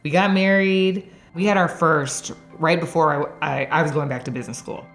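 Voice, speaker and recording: A woman's voice: sits low, steady tone, normal speed, soft background music. A woman in her forties from Texas, sharing her faith story in a chatty way, laughing often and using lots of fillers. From Dallas area, Texas. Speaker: interviewee. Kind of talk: personal faith testimony.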